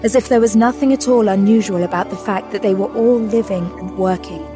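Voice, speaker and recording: A woman narrating a scripted audio drama in a measured, descriptive storytelling manner. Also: mid-range voice. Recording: music bed behind narration.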